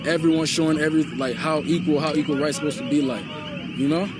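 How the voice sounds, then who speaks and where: A man's voice: fairly high pitch, steady tone, quick pace, heavy background noise. A young man from Liberia, interviewed outdoors at a protest, speaking with passion and often repeating himself and asking "you know?". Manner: impassioned, repetitive, often asks "you know?". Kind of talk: street interview. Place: outdoors at a night protest near a mall.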